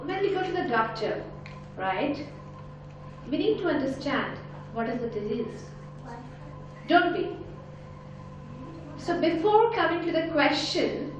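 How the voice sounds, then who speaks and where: A woman's voice: high voice, recorded from a distance, dull audio, slight background noise. Indian-accented woman speaking in a big echoey hall. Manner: emphatic, full of rhetorical questions. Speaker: motivational speaker and life coach. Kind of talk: motivational talk to a live audience. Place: large conference hall with an audience. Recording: very echoey hall, voice picked up from afar.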